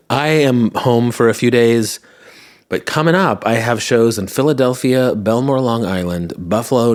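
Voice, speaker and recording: A man hosting a podcast in casual solo chat, rambling and wry, his voice tired and slightly hoarse. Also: mid-range voice. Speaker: podcast host. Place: at home, indoors.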